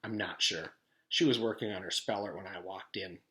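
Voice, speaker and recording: Stern mystery man for a children's storybook, acted by a male reader; hushed, formal, suspicious questioner. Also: deep voice, normal speed. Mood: guarded. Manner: cold, formal, skeptical questioning. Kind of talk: children's storybook read-aloud.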